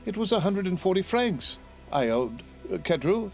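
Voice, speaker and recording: A man's voice: high voice, big pitch swings, moderate pace, vocal fry, darkish tone, soft background music, voice pushed up, full character voice. A man voicing an earnest, devoted young sailor in a storybook, speaking with ardent courtesy. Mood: ardent, distressed.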